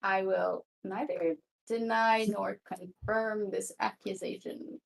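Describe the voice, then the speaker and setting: A woman takes part in a tabletop roleplay with a casual, conversational delivery. Her mid-pitched voice carries a lot of vocal fry. She speaks a little haltingly, slipping between narrating her character and chatting. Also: flat delivery, low-quality recording.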